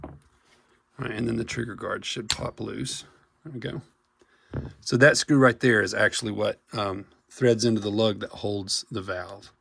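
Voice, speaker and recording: Guy with a cold, husky scratchy voice, talking thru a fix with lots of ums and restarts. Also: lowish voice, fairly even intonation, fairly bright tone, no echo. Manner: casual, halting explanation with many fillers and restarts. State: hoarse from a cold. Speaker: paintball marker technician. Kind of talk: hands-on repair tutorial.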